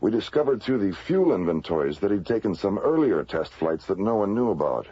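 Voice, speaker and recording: A man's voice: lowish voice, very animated, brisk, mellow, breathy edge, no room sound. An actor playing a sober spaceman who explains things matter-of-factly, in old-time radio drama style. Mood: sober, explanatory. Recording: dull, slightly noisy vintage radio recording.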